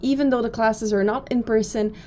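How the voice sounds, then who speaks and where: A woman's voice: on the high side, fairly even intonation, fairly quick, mellow, slight background noise. A woman in her thirties from Belgium, with a Belgian accent, giving a chatty, upbeat recorded introduction to her online course from her office, with frequent fillers. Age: 30s. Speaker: professor.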